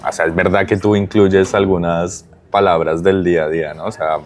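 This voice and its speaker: Low-voiced Colombian man, hesitant. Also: level tone, normal speed, a little mellow. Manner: hesitant, many fillers and restarts. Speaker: guest, digital transformation consultant. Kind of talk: casual conversational interview.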